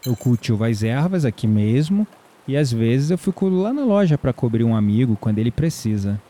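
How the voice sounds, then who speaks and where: Um ator brasileiro interpretando um homem tranquilo, brincalhão e sedutor numa áudio série, em tom de conversa. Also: male voice, medium pitch, very animated, normal speed, mellow, natural voice. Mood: relaxed, fond. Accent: Brazilian. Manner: teasing, chuckling, conversational. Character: easygoing, flirtatious man, herb grower, playful and warm. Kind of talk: audio drama dialogue.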